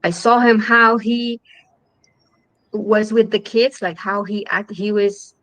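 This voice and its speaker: A woman filmmaker from Chile with a Chilean Spanish accent, speaking English in a halting way full of ums and restarts. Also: medium pitch, little pitch movement, a bit bright, no room sound, low-quality recording. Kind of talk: festival Q&A talk.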